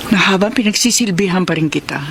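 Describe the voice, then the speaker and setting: A woman's voice: fairly low pitch, fast talker, vocal fry, noisy background. A woman acting a long-suffering, devoted Filipino wife in a radio drama. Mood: solemn, pleading.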